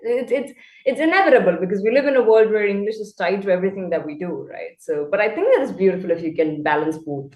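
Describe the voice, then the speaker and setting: A woman, Malayalam, talking as a guest tutor in a relaxed conversation. She sounds thoughtful and articulate, and her voice bounces around an echoey room at an ordinary pitch. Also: quick pace. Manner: thoughtful, articulate, laughs easily. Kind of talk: casual conversation.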